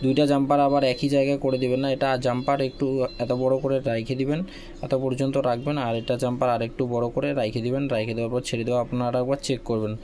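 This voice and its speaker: A man's voice: mid-range voice, flat delivery, quick pace, darkish tone. A man presenting a casual hands-on repair tutorial, explaining step by step and often repeating his phrases. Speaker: phone repair tutorial host.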